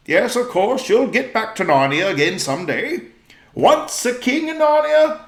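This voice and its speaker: A spluttering, chuckling old professor from a storybook, voiced by a man reading aloud. The voice jumps high and bounces all over the place. It rings in a roomy space. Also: a bit bright, exaggerated voice. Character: eccentric old professor, excitable and kindly. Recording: roomy echo.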